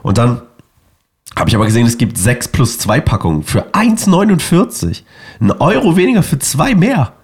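A man in his thirties, sick and hoarse, chatting casually and joking as co-host of a podcast. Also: medium pitch, wildly swooping pitch, moderate pace. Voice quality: raspy, gravelly. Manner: joking, rambling. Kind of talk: casual chat.

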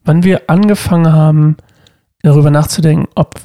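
A young man with a cold hosts a casual chat, his nose stuffed up as he jokes and wanders off mid-sentence. His voice has a gravelly fry. The sound is close and dry. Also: fairly high pitch, steady tone, a little fast, dark tone, dry recording. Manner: joking, rambling, self-interrupting. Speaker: podcast co-host.

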